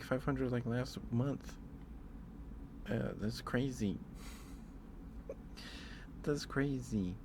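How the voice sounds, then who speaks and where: A soft-spoken man chatting casually as an ASMR livestream host, in a hesitant, rambling way. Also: mid-range voice, background noise. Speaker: live streamer and host.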